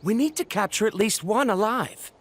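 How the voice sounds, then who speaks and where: An actor playing a single-minded, socially awkward researcher in an anime video game, speaking formally and bluntly. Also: male voice, high-pitched, expressive, normal speed, a bit bright, a little hiss or hum. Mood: blunt, intent. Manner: formal, blunt, stiffly polite.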